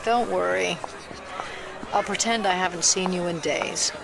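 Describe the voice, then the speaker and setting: An actress playing a brisk, sceptical, no-nonsense federal agent in an audio drama at a busy fair. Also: female voice, fairly low pitch, fairly even intonation, vocal fry, heavy background noise. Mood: dry reluctance. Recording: loud fairground crowd and music.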